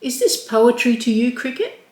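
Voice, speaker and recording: A woman's voice: fairly high pitch, very animated, moderate pace, a little mellow, pitched above the performer's own voice. A woman doing a curious little boy. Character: curious young boy, eager and polite. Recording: roomy echo.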